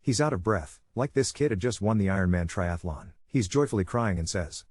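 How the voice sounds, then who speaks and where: A man with a fairly low voice reads an online story aloud at a quick clip. His delivery is brisk and even, as he narrates a first-person account. The sound is dry and close, with no room around it. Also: slightly rough, no echo.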